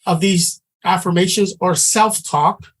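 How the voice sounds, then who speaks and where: High-voiced man teaching. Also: steady tone, fairly bright tone. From Samoa. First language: Samoan. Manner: earnest, conversational, halting with restarts. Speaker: trading instructor. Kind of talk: teaching a class.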